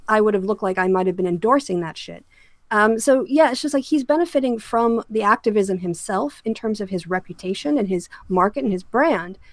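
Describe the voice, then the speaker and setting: Woman, mid-pitched voice, earnest, emphatic, argumentative talk show host, dry recording. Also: dry recording. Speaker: host of an online political discussion show. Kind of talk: opinionated panel discussion.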